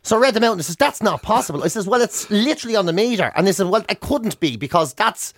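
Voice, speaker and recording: Irish male co-host, Dublin accent, high voice, comic ranting storyteller for radio banter. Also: expressive, quick pace, a bit bright. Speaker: radio breakfast show co-host.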